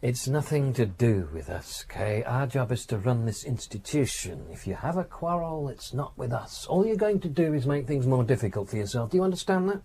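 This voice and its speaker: A man playing a coldly reasonable, patronising prison official in a radio drama, probing and advising with measured authority. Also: mid-range voice, very animated, warm tone, a little hiss or hum. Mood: exasperated.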